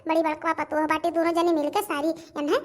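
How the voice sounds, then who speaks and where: Woman voicing very high-pitched chatty Awadhi village in-law. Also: flat delivery, a little fast. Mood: bossy, welcoming. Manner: chatty, repetitive rustic village talk. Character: village women of a household, gossiping, admiring and grumbling in-laws. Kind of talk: cartoon family comedy drama voice acting.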